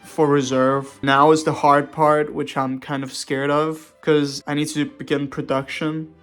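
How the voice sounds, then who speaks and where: Higher-pitched man vlogging over music. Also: flat delivery, a little slow, fairly bright tone. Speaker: streamer. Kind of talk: vlog narration and casual talk to camera.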